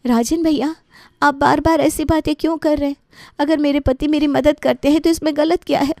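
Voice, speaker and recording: A woman's voice: sits high, steady tone, moderate pace, dark tone, no echo, clean studio sound, voice pushed up. A woman voicing an upset young daughter-in-law in a family story, protesting earnestly. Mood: indignant.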